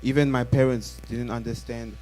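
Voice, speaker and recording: A man interpreting a sermon live in church in short bursts, halting and rephrasing as he goes. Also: medium pitch, little pitch movement, darkish tone, slight background noise, poor audio. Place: church, before a congregation. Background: faint music.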